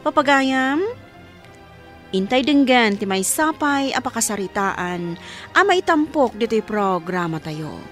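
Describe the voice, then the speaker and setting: A woman presents a religious radio program from a script. Her fairly high voice moves with very lively intonation, and music plays faintly underneath. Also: measured pace. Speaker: radio drama program host.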